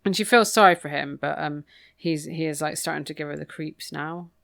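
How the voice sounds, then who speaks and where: Female podcast co-host, low smooth voice, informal book recap delivered plainly. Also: brisk, a bit bright. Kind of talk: casual book recap chat.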